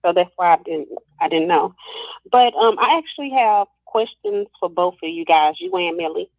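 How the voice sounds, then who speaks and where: A woman's voice: on the low side, animated, moderate pace, no echo. A woman calling in to a talk show, speaking hesitantly with many fillers. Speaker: caller. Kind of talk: phone call-in. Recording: phone line.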